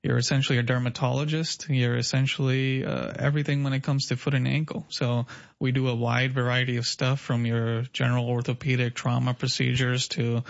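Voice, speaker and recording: A mid-pitched man with a gravelly edge to his voice, answering a radio host's questions. He speaks politely and explains things plainly. The sound is slightly muffled. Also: level tone, brisk, no room sound. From Pakistan. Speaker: podiatrist guest. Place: radio studio.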